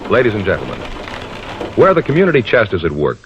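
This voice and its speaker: A man's voice: on the low side, huge pitch swings, fast talker, slight background noise. A man with an American accent announcing formally in 1950s radio style, on a muffled vintage recording.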